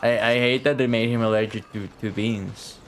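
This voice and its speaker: A man's voice: fairly low pitch, fairly even intonation, normal speed. A man giving casual live game commentary, reacting in an informal, slangy, sweary way. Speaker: game streamer. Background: game music.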